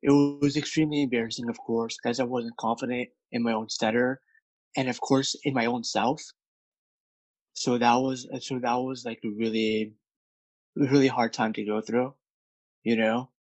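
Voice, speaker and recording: A man's voice: mid-range voice, flat delivery, moderate pace, darkish tone, no echo, low-quality recording. A man who stutters, with repeated words and many fillers, speaking candidly as a guest about his work as a speech coach. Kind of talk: candid interview conversation.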